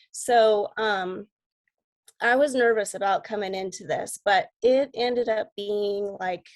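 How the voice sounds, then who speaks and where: Raspy-voiced woman with a cold. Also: medium pitch. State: sick with a cold. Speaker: guest coach. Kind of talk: casual video-call talk.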